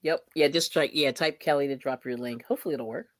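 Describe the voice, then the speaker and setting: A woman's voice: rumbling low, quick pace, dry recording, studio quality. A chatty woman from the Pittsburgh area, hosting a livestream and talking casually with frequent laughs. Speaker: livestream host.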